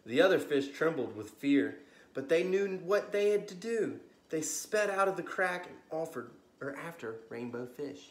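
An American man in his thirties hosting a children's story time, reading aloud expressively with gentle asides to young listeners. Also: on the high side, big pitch swings, moderate pace. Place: living room, on a couch indoors. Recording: roomy, echoey home recording.

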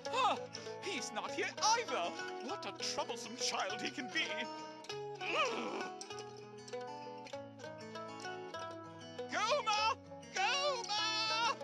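Shrill, fussy royal chancellor. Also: female voice, expressive, takes it slowly, exaggerated voice. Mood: calling, exasperated. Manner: pompous, exasperated, scolding. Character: fussy, shrill, bossy royal chancellor of fairy-tale castle. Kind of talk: English video game voice acting. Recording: game music loudly behind the voice.

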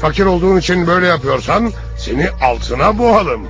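A man's voice: high voice, expressive, brisk, fairly bright tone, dull audio, faint music behind. An actor playing a haughty, menacing ancient pagan king, imperious and theatrical, in a cartoon. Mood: scornful, bargaining. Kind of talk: dubbed cartoon acting.

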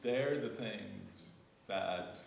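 A man's voice: lowish voice, very slow, creaky. A man speaking off the cuff in a panel discussion, reflective, in short phrases. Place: indoor gallery event space. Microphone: distant camera. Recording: echoey room from far off, some noise.

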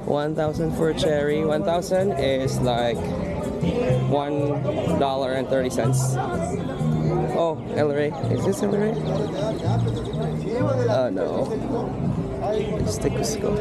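A man's voice: a little high, animated, slow, pauses often. A man walking through an outdoor city market and chatting to his handheld camera, thinking aloud and sounding a little self-conscious. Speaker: travel vlogger. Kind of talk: casual handheld travel vlog. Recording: loud street market, music and crowd. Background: loud music and crowd chatter.

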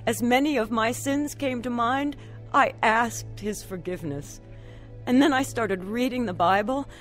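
An actress playing a troubled, earnest woman telling her story of faith in a radio drama. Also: fairly high pitch. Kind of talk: radio drama acting and first-person narration.

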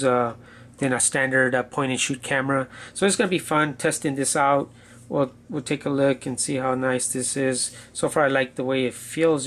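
A man's voice: mid-range voice, a bit bright. A man giving a casual, unscripted gadget demo indoors, thinking aloud a bit hesitantly as he goes. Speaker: gadget reviewer.

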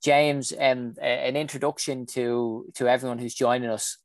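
A man in his thirties hosting an online panel on a video call, brisk and welcoming, with some fillers. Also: mid-range voice, fairly even intonation, no room sound. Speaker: session host. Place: home room indoors. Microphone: video call with earphones.

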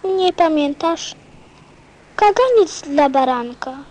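A woman playing a gentle, earnest little boy prince in a radio play, with a simple, solemn, childlike manner. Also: high voice, lively intonation, takes it slowly, slight background noise. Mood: solemn, wistful. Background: music.

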